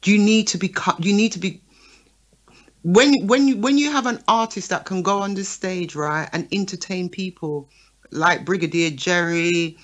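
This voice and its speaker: A woman's voice: lowish voice, moderate pace. A woman co-hosting a radio talk show, making her points and often checking in with "right?" and "okay?". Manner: lively, checks in with listeners by asking right and okay. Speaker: talk show co-host, promoter.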